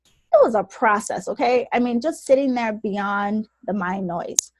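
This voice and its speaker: Soft-voiced woman, chatty podcast host. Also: mid-range voice, measured pace, a little hiss or hum. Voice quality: naturally soft voice. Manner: chatty, animated, laughs often. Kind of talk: casual chat.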